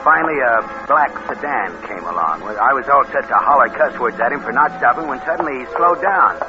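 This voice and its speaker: A man's voice: mid-range voice, animated, a little fast, music in the background, natural voice. Sly young drifter on muffled old radio male actor. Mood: sly narrating. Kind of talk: 1950s radio crime drama, first-person narration.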